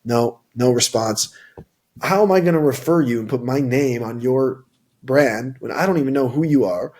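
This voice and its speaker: A man's voice: mid-range voice, normal speed, darkish tone. A young man working in tech sales, answering interview questions casually with lots of fillers, restarts and "like, you know.".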